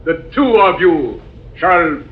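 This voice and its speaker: A man's voice: fairly high pitch, big pitch swings, slow pace, phone-quality sound. An actor playing a menacing jungle king who offers sacrifices to the gods, declaiming commands and chants in a 1940s radio adventure. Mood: menacing triumph. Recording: echoey, distant, noisy old radio recording.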